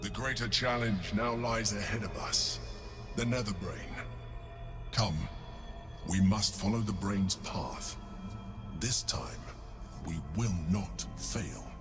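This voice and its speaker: Deep, deliberate male mind flayer mentor. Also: music in the background. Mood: urging, commanding. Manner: deliberate, solemn, coaxing. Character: calm, persuasive male mind flayer mentor, coaxing and commanding. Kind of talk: video game cutscene acting.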